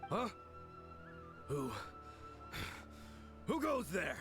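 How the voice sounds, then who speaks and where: A man's voice: high voice, very animated, over loud music, full character voice. An actor playing a possessed young man in a video game, theatrical and unstable, swinging between bravado and misery. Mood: startled. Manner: theatrical, drawn-out, stuttering. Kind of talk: English video game voice acting.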